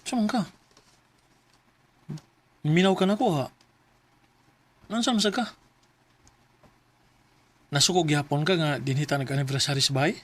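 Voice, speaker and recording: A Cebuano actor playing a self-centred, stubborn young boyfriend in a radio drama, with a casual, dismissive manner. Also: male voice, on the high side, a little slow, pauses often, a bit bright. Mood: irritated. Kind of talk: Filipino radio drama acting.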